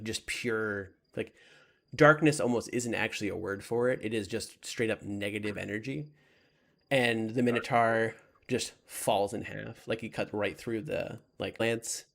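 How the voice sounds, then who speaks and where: A low male voice with a strong creak, talking casually as a game master. He narrates and reflects on his tabletop campaign in an informal, unpolished, thinking-aloud style. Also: moderate pace, a bit bright. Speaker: game master giving commentary.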